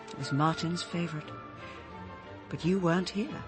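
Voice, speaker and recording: A woman's voice: fairly low pitch, a little slow, music in the background, close to an everyday voice. An actress playing a troubled, earnest woman who speaks hesitantly in a British radio drama. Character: troubled, earnest woman gently confronting her friend.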